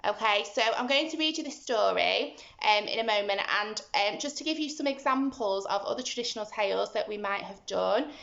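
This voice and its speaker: A woman teacher talking simply and deliberately to young children as she explains and reads a storybook aloud. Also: mid-range voice, gravelly. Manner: simple, deliberate, instructive, animated when reading. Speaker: early-years school teacher. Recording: roomy, slightly muffled home recording.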